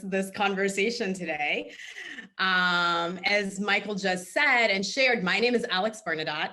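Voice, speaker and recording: A woman's voice: mid-range voice, pushed and bright. A middle-aged woman, born in Haiti and raised in Boston, speaks earnestly and articulately as a nonprofit founder on a panel. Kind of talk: panel discussion answer.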